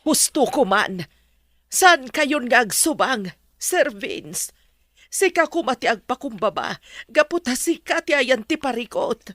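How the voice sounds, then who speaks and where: A frank household confidante in a drama. She has a slightly high voice in a close, dry recording and speaks firmly, explaining with reproach. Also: animated, a little slow, fairly bright tone, dry recording, exaggerated voice. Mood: reproachful. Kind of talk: drama dialogue.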